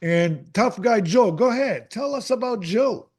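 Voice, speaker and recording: A man hosting an author interview, enthusiastic and prone to halting restarts. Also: high voice, lively intonation, a little mellow, breathy edge. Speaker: podcast host.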